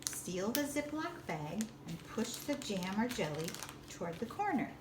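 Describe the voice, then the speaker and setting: A woman's voice: mid-range voice, big pitch swings. Echoey woman giving step-by-step instructions. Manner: deliberate, simple explanatory phrasing. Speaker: librarian giving a baking demonstration. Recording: distant roomy echo with background noise.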